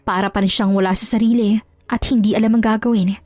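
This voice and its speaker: A woman's voice: mid-range voice, fairly even intonation, quick pace, a little mellow, no echo, soft background music. A woman reading scripted dramatic narration as the storyteller of an audio story.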